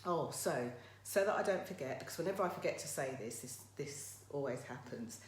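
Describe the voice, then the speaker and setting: Low, croaky woman hosting a livestream. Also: expressive, brisk. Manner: chatty, addresses viewers directly. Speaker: motivational livestream host. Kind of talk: casual live motivational talk to viewers. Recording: roomy echo, faint background noise.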